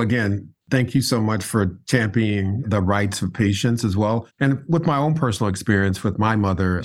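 A man with a somewhat low voice hosting a podcast, affirming his guests and speaking in a supportive way. His manner is conversational and sincere. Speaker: podcast host. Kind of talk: podcast interview.

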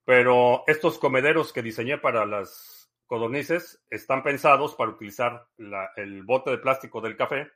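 A man, hosting a podcast, answering listener questions in a relaxed, rambling chat full of fillers. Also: medium pitch, normal speed, few pauses. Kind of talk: casual live-stream chat.

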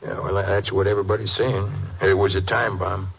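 An actor playing a nervous, defensive farmer with a Southern US accent, hesitant and folksy, in an old radio drama. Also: male voice, low-pitched, level tone, fairly quick, creaky, slightly rough, heavily acted. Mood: indignant. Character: defensive, nervous male farmer under suspicion. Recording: muffled, hissy old radio recording.

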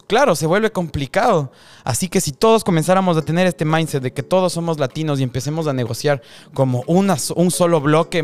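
A man hosts a podcast interview, talking in a candid, reflective way. His voice sits a bit high for a man and sounds smooth and resonant. Faint music plays underneath. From Ecuador. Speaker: podcast host. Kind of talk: casual interview chat.

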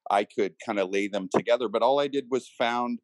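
A man talking casually as an interview guest, in a hesitant, halting manner. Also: mid-range voice, dry recording, poor audio. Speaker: company team member being interviewed.

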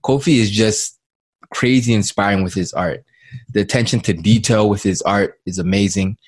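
A male podcast host with a fairly low voice leads an interview. He asks rambling questions and keeps circling back to repeat his words. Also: moderate pace, slightly rough.

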